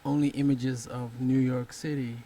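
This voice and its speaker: A man with a Dutch accent recounts his background, stumbling and repeating words as he goes. His voice is gravelly and flat. Faint room noise sits behind him. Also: mid-range voice, moderate pace, rough recording. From the Netherlands. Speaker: guest artist.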